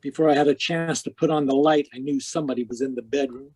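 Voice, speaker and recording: An actor playing a cynical, wry private eye in terse, slangy 1940s radio detective style. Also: male voice, medium pitch, fairly even intonation, quick pace, darkish tone, slightly rough, natural voice. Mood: wry, world-weary. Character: cynical wisecracking male hardboiled private eye.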